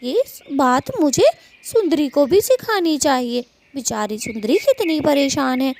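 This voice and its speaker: Cartoon bird friend female performer: highish, expressive, exasperated gossip with sympathy. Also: measured pace, a little hiss or hum. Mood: admiring, pitying. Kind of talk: Hindi cartoon story dubbing.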